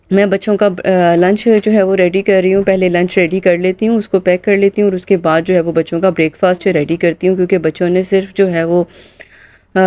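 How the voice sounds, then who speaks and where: Hoarse, low-voiced mom vlogger from Pakistan. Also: female voice, warm tone, no echo. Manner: chatty, loose, full of filler phrases. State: hoarse from a sore throat. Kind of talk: casual voice-over narration of a daily vlog.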